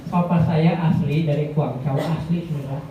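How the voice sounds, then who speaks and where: Man preaching, rambling and forceful, distant mic, heavy hall echo, tinny thin sound. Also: fairly even intonation, moderate pace, slight background noise. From Indonesia. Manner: rambling, addresses the congregation as "saudara"; grows louder and higher when preaching. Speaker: guest preacher. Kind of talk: Pentecostal sermon. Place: church hall. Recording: echoey church hall, tinny and far off.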